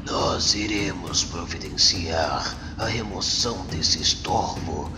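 Man playing a hushed, very deep alien being. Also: takes it slowly. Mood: cold, ominous. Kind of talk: comic book dub acting. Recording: long cavernous reverb over music.

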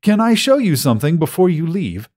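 A man voicing a courteous, patient robot museum guide in a science fiction audiobook, speaking in a formal, measured way. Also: fairly high pitch, very animated, a little mellow, voice pushed up.

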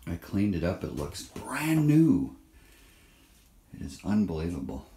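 A man's voice: deep voice, sing-song, measured pace, mellow. A man giving a casual, offhand walkthrough as he films inside an empty trailer on his phone. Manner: offhand, chatty, thinking aloud. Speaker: vlogger filming a trailer renovation. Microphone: handheld phone camera. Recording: echoey bare room, faint hiss.

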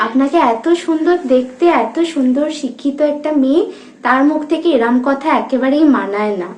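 A young woman with a high-pitched voice speaks with a West Bengal Bengali accent. She is polite but keeps pushing persuasively, as if on a staged prank call. The room adds clear echo. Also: fairly quick, a little mellow. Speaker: prank caller, vlogger. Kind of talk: staged phone prank conversation.

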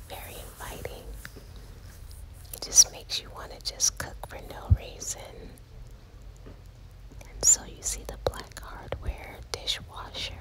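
Woman, whisper-only ASMR host, very slow, lingering delivery, swooping whispered phrasing. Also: low-quality recording. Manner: soft unvoiced whisper, slow and admiring. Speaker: ASMR house tour host. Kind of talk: whispered ASMR house tour. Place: inside an empty house, walking through rooms. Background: rain sounds.

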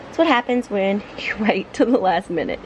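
A middle-aged woman, casually narrating a home vlog in an informal, halting, think-aloud way. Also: mid-range voice, animated, a little hiss or hum, rough recording. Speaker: home vlogger. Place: living room of a home, indoors. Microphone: camera mic on a tripod nearby.

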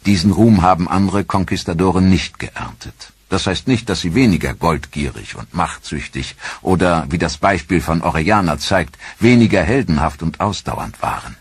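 Deep husky male documentary narrator. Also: dry recording.

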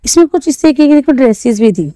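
High-voiced woman touring a street market. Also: lively intonation, fast talker, warm tone, no room sound. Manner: chatty, repeats prices, asks shopkeepers. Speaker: market vlogger. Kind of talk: walking market vlog showing clothes and prices. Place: busy outdoor street market.

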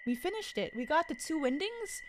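A woman's voice: sits high, very animated, a little fast, slight background noise. A young woman chatting casually on a livestream as she plays a horror game at home, speaking into a close mic. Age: young adult. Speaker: game streamer. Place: small room at home, indoors. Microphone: boom-arm microphone close to the mouth, with headset.

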